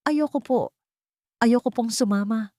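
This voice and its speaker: A woman's voice: on the high side, unhurried, dark tone, no echo, clean studio sound, pitched above the performer's own voice, lightly acted. A woman voicing a frightened, pleading little blind girl in a dramatic story narration. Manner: timid, pleading.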